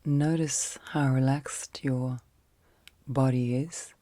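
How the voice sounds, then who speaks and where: A woman leading an ASMR guided sleep meditation in a soft, breathy, often whispered voice, speaking gently and soothingly in short phrases with long pauses. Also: extremely low pitch, steady tone, no room sound.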